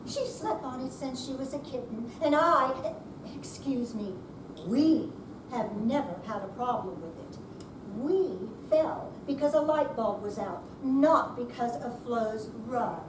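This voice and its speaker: A woman's voice: fairly high pitch, expressive, a little slow. A woman reading the part of a stubborn, independent older cat owner who gets defensive, in readers theater. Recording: echoey room, far mic, clear noise.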